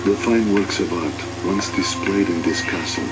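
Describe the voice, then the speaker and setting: An actor playing a world-weary air force intelligence officer, narrating a video game cutscene in a measured, formal way. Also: male voice, sits low, close to an everyday voice, rough recording. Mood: wry, resigned. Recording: loud music behind the voice. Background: loud music.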